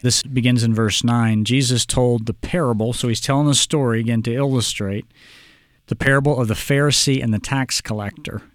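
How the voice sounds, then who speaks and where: A man from Oklahoma hosting a Bible study discussion, speaking in a measured way and reading scripture aloud. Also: medium pitch, steady tone, no room sound, clean studio sound. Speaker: podcast host, Bible teacher.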